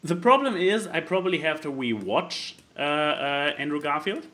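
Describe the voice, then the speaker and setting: A man chatting casually about films as a podcast host, in an animated, rambling way that often stalls and repeats itself mid-thought. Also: fairly high pitch, moderate pace. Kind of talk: casual film chat.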